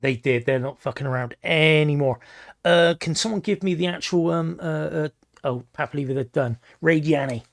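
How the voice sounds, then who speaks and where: Hoarse man, gravelly voice, slightly high, energetic game commentary, swears a lot. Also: normal speed. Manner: energetic, swears freely, laughs often. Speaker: esports shoutcaster.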